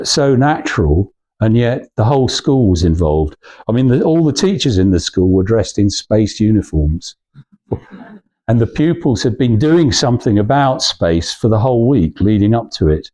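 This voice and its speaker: A man's voice: mid-range voice, dark tone. A man giving an informal talk as a guest speaker, rambling and anecdotal, often restarting his phrases as he searches for words. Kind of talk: informal talk to a small audience.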